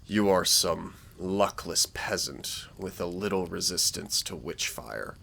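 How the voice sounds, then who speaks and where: A man playing a menacing, smooth-talking dark warlord in a roleplay audio drama, theatrical, deliberate and condescending in his persuasion. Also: sits low, lively intonation, full character voice. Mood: mocking, intense. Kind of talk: scripted roleplay audio drama, villain monologue.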